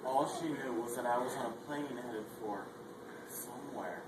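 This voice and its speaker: A performer, likely a young man, narrating as a husband looking back on his last day, with calm reflection. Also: medium pitch, normal speed, distant mic, natural voice. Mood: reflective. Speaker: readers theatre performer. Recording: echoey hall, noisy.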